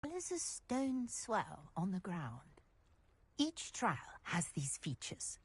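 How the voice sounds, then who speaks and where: A woman's voice: mid-range voice, sing-song, slow, breathy edge, no echo, full character voice. An actress playing an eager, exclamatory female scholar of ancient magic in a video game. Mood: earnest, explaining. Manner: eager, exclamatory, British period phrasing. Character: excitable bookish female researcher of ancient magic trials. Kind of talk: video game character acting.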